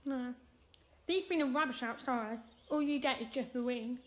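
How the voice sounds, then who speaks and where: A woman's voice: sits high, normal speed, lightly acted. A woman playing a curious, blunt and persistent deaf schoolgirl in a naturalistic radio drama. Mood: inquisitive.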